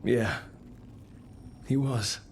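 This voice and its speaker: An actor playing a steady, compassionate leader in a fantasy video game, sincere and understated. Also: male voice, mid-range voice, extremely slow, a little hiss or hum. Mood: gentle concern. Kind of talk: dramatic video game acting.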